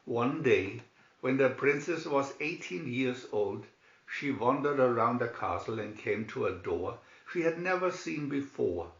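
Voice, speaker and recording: A man reading a fairy tale aloud as a scripted narration, in a deliberate manner. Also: mid-range voice, animated. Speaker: storybook reader. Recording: dull, roomy amateur recording.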